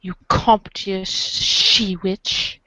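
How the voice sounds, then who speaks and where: A woman's voice: mid-range voice, little pitch movement, very slow, sharp tone, no room sound, poor audio. A woman reading playfully in a group performance of a webcomic script for a podcast. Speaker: podcast co-reader.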